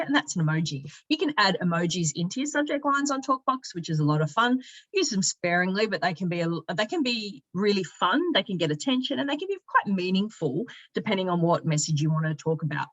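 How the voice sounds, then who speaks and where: A woman presenting a casual, chatty software tutorial in an upbeat, informal manner, walking through it step by step. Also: lowish voice, highs cut off. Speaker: presenter giving a software demonstration.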